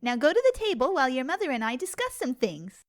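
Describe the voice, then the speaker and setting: Woman playing a bubbly, high-voiced pony mother. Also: fast talker. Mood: exasperated. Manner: gushing, excitable. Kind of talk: fan fiction dramatic reading.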